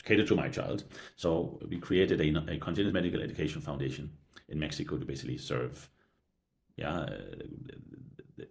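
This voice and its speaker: A middle-aged man speaking earnestly as a podcast guest, halting with frequent restarts and a habitual "yeah". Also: extremely low pitch, vocal fry, darkish tone. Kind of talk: reflective conversational interview.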